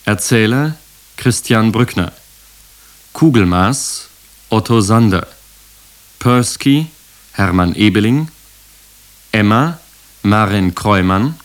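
Slow, lowish-voiced male radio announcer. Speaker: radio announcer reading the credits. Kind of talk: scripted closing announcement.

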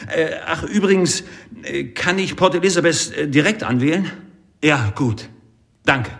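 A man's voice: on the high side, measured pace, vocal fry, a little hiss or hum. An actor playing an unflinching, devout South African sportsman in a German radio drama, composed and plain-spoken. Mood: brisk, composed. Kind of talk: German radio docudrama acting.

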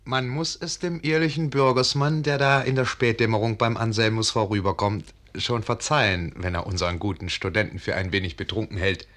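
A man's voice: on the low side, fairly even intonation, fairly quick, few pauses, a bit bright, lightly acted. A man playing a wry, genial fairy-tale narrator in a radio production, telling the story with knowing irony. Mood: wry storytelling. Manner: ironic, knowing. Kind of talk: theatrical scripted narration.

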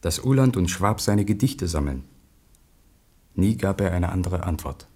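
Earnest witness, low husky voice, measured, sober radio drama, faint music. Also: male voice, expressive, a little mellow, heavily acted. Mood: concerned, sober. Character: earnest male acquaintance recalling a mad poet.

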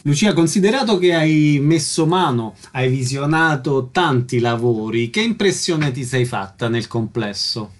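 A man's voice: mid-range voice, animated, a little slow, few pauses. A man asking questions as an interviewer in a conversational style.

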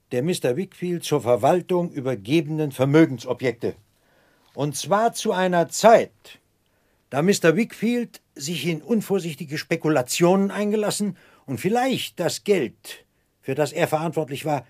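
An actor playing a theatrical, indignant gentleman in a radio drama, declaiming formal accusations pompously. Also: a little high, husky, exaggerated voice. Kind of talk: 1960s German radio drama acting.

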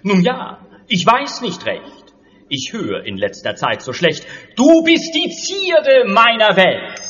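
Stage comedy befuddled husband; slow, swooping, declamatory verse in a reverberant theatre. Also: male voice, high-pitched, wildly swooping pitch, a bit bright, heavily acted. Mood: pompous, befuddled. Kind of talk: stage comedy within audio drama. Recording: reverberant theatre hall, dull audio.

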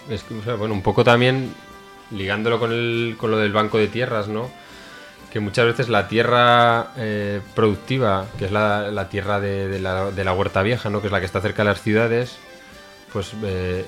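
A man's voice: on the low side, fairly bright tone. A man speaking as a studio guest on a radio show, explaining things in a hesitant way with many restarts. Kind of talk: casual explanatory talk.

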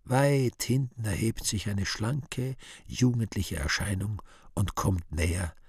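A man narrates the stage directions of a radio play in a subdued, matter-of-fact manner. His delivery is unhurried and slow, and the sound is very dry, as in a studio. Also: fairly low pitch, slightly rough, no echo.

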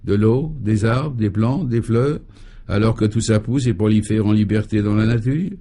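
A man's voice: lowish voice, moderate pace, mellow, close to an everyday voice. A man voicing a proud, dreamy old professor in a French comic radio serial, speaking with ceremonious, solemnly absurd courtesy.

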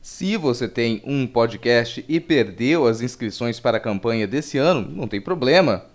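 A man's voice: mid-range voice, animated, moderate pace. A man with a Brazilian accent giving informal announcements as a podcast host.

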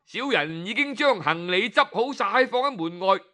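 A man voicing an eager, flattering middle-aged male innkeeper. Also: high-pitched, moderate pace.

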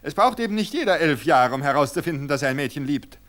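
A man reading an audiobook, voicing an excited young woman in love who shouts defiantly. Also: fairly high pitch, fast talker, pitched above the performer's own voice.

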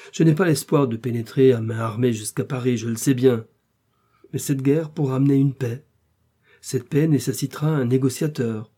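A man acting out a lovestruck, reckless duke from a period romance. His voice is very dark and slightly husky, and he declaims with fervent, pleading passion and a melancholy streak. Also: mid-range voice, close to an everyday voice.

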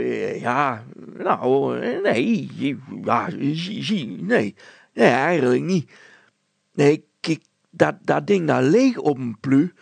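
Befuddled, insistent storybook village woman, played by a man: high, creaky delivery, rambling, repetitive, emphatic. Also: dry recording, full character voice. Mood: flustered. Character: muddled, slightly deaf village woman, stubbornly insistent. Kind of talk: children's story character voice.

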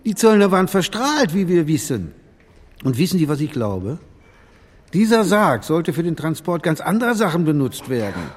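A man's voice: a little high, very animated, normal speed, in a large hall. A man playing a brusque, probing police detective chief in a German radio crime drama, with dry irony.

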